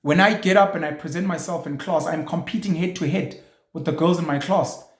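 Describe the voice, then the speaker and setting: Male host, slightly high voice, provocative and emphatic monologue, echoey room. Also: a little fast. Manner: provocative, rhetorical questions, emphatic. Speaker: show host. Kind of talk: opinionated monologue.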